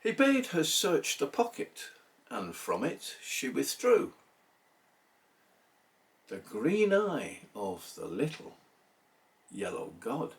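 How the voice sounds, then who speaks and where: Slow, dramatic male poetry reciter. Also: mid-range voice, huge pitch swings, recorded from a distance. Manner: theatrical, measured delivery with pauses between phrases. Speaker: poetry reader. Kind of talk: dramatic recitation of a narrative poem.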